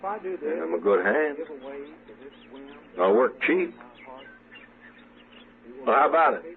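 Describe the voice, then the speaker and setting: An actor playing a shifty, broke cowhand in an old radio western, folksy and defensive. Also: male voice, on the high side, very animated, slow, crowd noise. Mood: wheedling. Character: shifty broke drifter, a cheap hired cowhand. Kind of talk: 1950s radio western acting. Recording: thin, narrow old radio recording.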